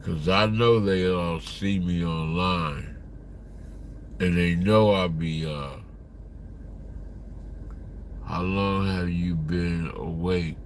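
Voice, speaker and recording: A man's voice: low-pitched, fairly even intonation, extremely slow, pauses often, slight background noise. A man in his fifties chatting casually on a livestream, reading viewer comments aloud in a rambling, profane way. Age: 50s. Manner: rambling, profane, talks to chat.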